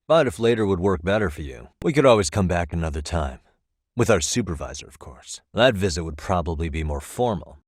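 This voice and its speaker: An actor playing a sharp, wry private detective in a video game, with an American accent and a casual, persuasive delivery. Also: male voice, low voice, no room sound, studio quality. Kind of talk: English video game dub acting.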